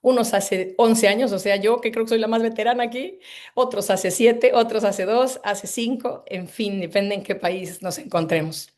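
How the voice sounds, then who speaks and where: A woman's voice: a little high, normal speed, darkish tone. Effusive woman hosting a webinar. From Mexico City. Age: 50s. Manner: effusive and rambling, with many hesitations. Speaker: webinar host. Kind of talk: online presentation to a live audience. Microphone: video call.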